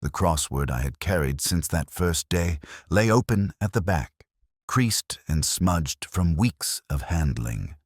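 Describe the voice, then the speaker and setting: A middle-aged man narrating a detective story in the first person as a melancholy, world-weary detective, in a measured, reflective, literary manner. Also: deep voice, expressive, dry recording, clean studio sound.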